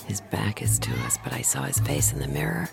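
An actress playing a mysterious, bored beauty in a noir radio drama, speaking in a sultry, hushed, teasing way. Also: female voice, a bass voice, lively intonation, fairly quick, vocal fry, heavily acted. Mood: hushed, secretive. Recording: loud music behind the voice.

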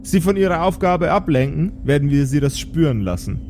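A man's voice: on the high side, lively intonation, fairly quick, darkish tone, slight background noise. Man playing a smugly polite, menacing woman handler. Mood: politely menacing. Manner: formal, veiled threats.

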